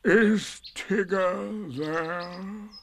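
A man voicing a gloomy, mournful old donkey in a storybook reading, in a slow, drawn-out mutter. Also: high-pitched, creaky, a little hiss or hum, voice pushed up, exaggerated voice.

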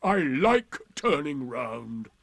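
A man voicing a gloomy, sarcastic donkey in a storybook, with drawn-out, emphatic, indignant delivery. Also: medium pitch, very animated, creaky, a bit bright, no room sound, heavily acted. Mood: coldly sarcastic.

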